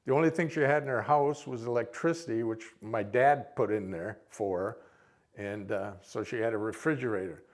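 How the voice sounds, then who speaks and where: A man's voice: on the low side, normal speed. An older man with a Minnesota accent, informally reminiscing to an audience as a veteran in a rambling, folksy way. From Minnesota, United States. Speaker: military veteran, guest speaker.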